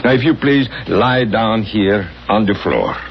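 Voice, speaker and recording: A pompous, creaky-voiced theatrical maestro. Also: male voice, on the low side. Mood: brisk, self-assured. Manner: grandiose, deliberate, dramatic. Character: pompous, theatrical male acting coach with grand self-regard. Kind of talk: 1970s radio drama acting. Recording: dull, noisy old radio broadcast recording.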